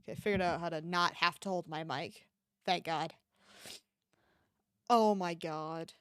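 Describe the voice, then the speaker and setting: A woman's voice: lowish voice, steady tone, measured pace, smooth, dry recording, clean studio sound. A woman livestreamer chatting casually and reacting to an online shop with playful, mock-dramatic exclamations.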